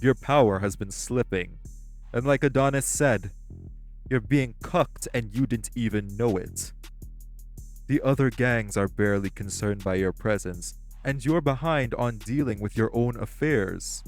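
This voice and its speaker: A man's voice: on the low side, faint music behind. A man voicing a composed, condescending male crime leader, in an audio roleplay. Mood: cold. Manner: measured, condescending, clipped.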